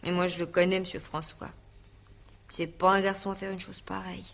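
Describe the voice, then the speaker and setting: An actress playing a naive, talkative young housemaid in a radio drama, colloquial, hesitant and then eagerly confiding. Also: female voice, fairly low pitch, little pitch movement, fairly quick, creaky, fairly bright tone. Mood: eager gossip.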